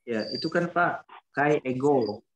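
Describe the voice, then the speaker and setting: A man's voice: medium pitch, takes it slowly, slight background noise, rough recording. A man with an Indonesian accent, a student putting a question to his teacher in class.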